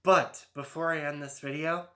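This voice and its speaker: A man's voice: fairly high pitch, steady tone, moderate pace, bright, forceful tone. Rambling man in his thirties. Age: 30s. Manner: rambling, hesitant, many fillers and restarts. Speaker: YouTube host. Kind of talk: casual album ranking monologue.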